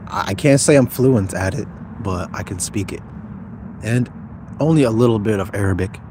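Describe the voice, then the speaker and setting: A man's voice: lowish voice, moderate pace, background noise. A young man talking casually on a livestream, informal and slangy, thinking aloud as he answers viewers. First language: Somali speaker, as second language. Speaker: YouTuber live-streaming.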